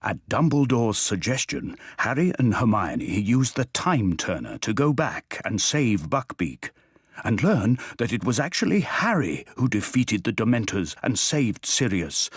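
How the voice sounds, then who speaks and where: A man's voice: mid-range voice, big pitch swings, unhurried, husky, dry recording, clean studio sound. A man reading scripted story narration for a video game. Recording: faint music behind the narration. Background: soft background music.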